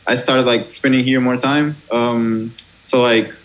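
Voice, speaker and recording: A man's voice: mid-range voice, fairly even intonation, fairly bright tone. A young man from Portugal with a Portuguese accent talks as an interview guest. He is a DJ who restarts and repeats his words often, laughs easily, and keeps a casual tone. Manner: halting, many restarts and fillers, laughs easily. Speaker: guest DJ and music producer.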